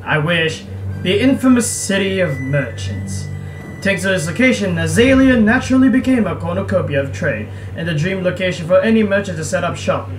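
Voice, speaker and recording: A man's voice: high voice, expressive, moderate pace, echoey room, rough recording. A young man narrating a visual novel playthrough in a casual, joking way with exasperated asides. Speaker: let's player reading a visual novel aloud. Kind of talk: casual gaming commentary and read-aloud narration. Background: game music.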